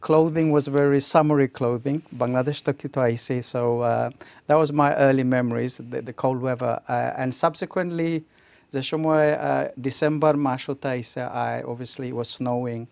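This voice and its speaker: Man, 50s, from Bangladesh, hesitant guest, quick, dry close sound. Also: mid-range voice, steady tone, gravelly, a little mellow, clear, resonant tone, dry recording. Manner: hesitant, many fillers, switches between English and Bengali. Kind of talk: casual interview chat.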